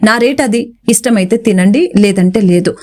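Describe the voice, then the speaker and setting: Woman doing scripted celeb news narration, medium pitch voice. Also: moderate pace, dark tone.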